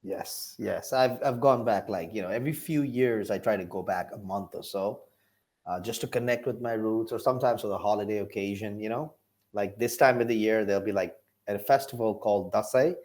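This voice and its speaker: A man's voice: fairly low pitch, a little fast, darkish tone. Easygoing Nepali-accented man in his thirties. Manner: easygoing, explains with "you know" and "like". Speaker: guest. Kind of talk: casual interview answers.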